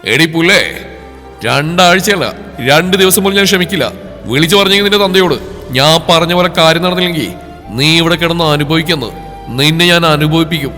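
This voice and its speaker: Threatening angry young husband, done by a man, loud snarling, bright edge, long reverb. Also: a little high, fairly quick. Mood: enraged. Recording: long reverb, faint music behind.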